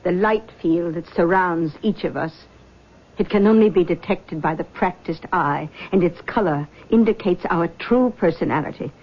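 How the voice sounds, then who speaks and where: A woman's voice: fairly low pitch, normal speed, natural voice. An actress playing a fretful, insistent, superstitious mother in a radio drama, with an earnest, fussing, theatrical delivery. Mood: earnest, ominous. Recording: muffled old radio broadcast.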